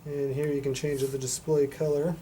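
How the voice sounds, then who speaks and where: A man's voice: fairly high pitch, flat delivery, normal speed, warm tone. A man giving a casual, unscripted product demonstration in a matter-of-fact, explanatory way. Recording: noticeable background noise.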